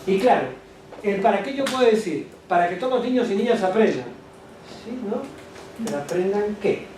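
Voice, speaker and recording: A man gives an animated lecture to teachers, asking the room rhetorical questions and adding quick asides to the audience. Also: high-pitched. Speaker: education speaker at a teachers' workshop. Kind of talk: lecture presentation. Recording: echoey hall, distant mic, background noise.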